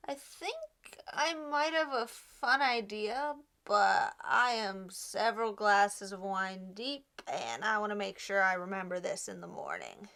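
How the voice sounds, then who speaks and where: A woman's voice: mid-range voice, a bit bright, full character voice. A young woman playing an anxious, self-deprecating romantic hopeful in a naturalistic comedy web series, with a rambling, wry, stammering delivery. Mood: tipsy, wry. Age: young adult.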